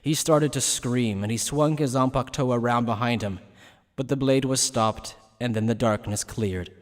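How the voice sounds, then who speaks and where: A man's voice: medium pitch, moderate pace, long reverb tail. A man narrating a dramatic scripted fantasy action story.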